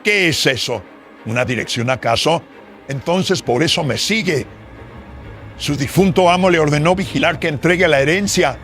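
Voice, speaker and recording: Man playing a scheming, agitated notary, higher voice, music behind. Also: normal speed, a bit bright, natural voice. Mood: panicked scheming. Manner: muttering to himself, theatrical, agitated. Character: greedy, scheming colonial notary, nervous and crooked. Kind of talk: melodramatic horror radio drama acting. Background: dramatic music.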